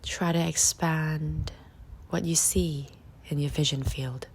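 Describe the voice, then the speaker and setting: Low, very slow woman guiding meditation. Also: fairly even intonation, a little hiss or hum. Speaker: meditation guide. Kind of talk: guided meditation, slow spoken instructions. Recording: faint outdoor nature ambience. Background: faint nature ambience.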